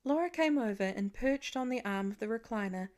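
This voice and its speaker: A woman's voice: on the high side, lively intonation, brisk, a little mellow. A woman reading a story aloud as an audiobook narrator in scripted narration.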